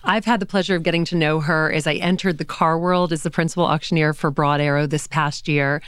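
A woman hosting an interview show, talking with enthusiasm and warmth toward her guest. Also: sits low, steady tone, a little fast, clear, resonant tone, no room sound. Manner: enthusiastic, effusive. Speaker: podcast host and auctioneer. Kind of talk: interview conversation. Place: studio.

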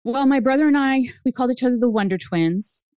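A woman in her fifties whose first language is Spanish, telling her family story as a guest author, animated and jumping up in pitch for emphasis. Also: fast talker, no room sound. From United States. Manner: animated, swings up high for emphasis. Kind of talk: personal storytelling in an interview.

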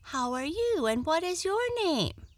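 A high-pitched, friendly little rabbit from a children's storybook, acted out by a woman reader. The voice is eager and childlike, swooping up and down with innocent chatter in a close, dry recording. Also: very animated, unhurried, dry recording. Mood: curious, chirpy.

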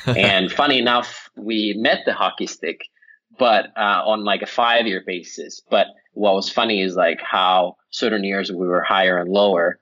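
A man from Finland speaks as an interview guest, and his voice sits very low. He talks in a loose, rambling way, with plenty of ums and restarts. The recording sounds a little muffled and lo-fi. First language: Finnish. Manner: relaxed, rambling with fillers and restarts. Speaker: company founder, guest. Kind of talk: casual interview answers.

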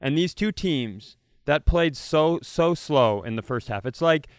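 A man's voice: fairly high pitch, lively intonation, measured pace, no room sound, studio quality. A man co-hosting a comedy podcast, telling casual comic stories with profane, jokey banter.

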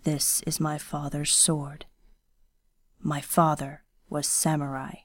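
A woman doing a terse, low-voiced ronin. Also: flat delivery, a little slow, a little mellow. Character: quiet stern young male ronin swordsman.

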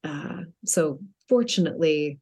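A woman's voice: sits low, expressive, unhurried, a little mellow, husky. A middle-aged woman author answering interview questions in a chatty way, often restarting her sentences and laughing lightly.

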